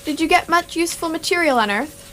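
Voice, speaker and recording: Woman playing a bewildered traveller, high bright voice. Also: lively intonation, quick pace, heavily acted, rough recording. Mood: wry, plaintive. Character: bewildered, plaintive, polite stranded human traveller. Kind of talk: amateur radio theatre dialogue.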